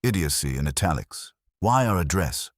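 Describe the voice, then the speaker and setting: A man voicing an imperious, disdainful male detective in a detective story, husky, formal and pompous. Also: low-pitched, big pitch swings, moderate pace, dry recording, studio quality.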